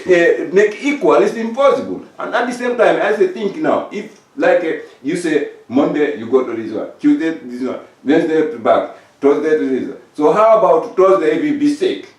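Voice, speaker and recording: A man's voice: on the high side, lively intonation, moderate pace, recorded from a distance. An older man with a West African English accent, talking earnestly in a casual group discussion and stumbling over repeated fillers. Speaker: discussion participant. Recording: echoey room, speaking from off the mic.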